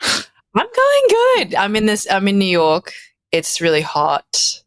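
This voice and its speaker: A woman's voice: mid-range voice, wildly swooping pitch, slow pace, dry recording. A woman in her thirties with an Australian accent, chatting casually as a podcast guest and laughing often. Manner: chatty, laughs often, restarts mid-sentence. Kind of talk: casual conversation.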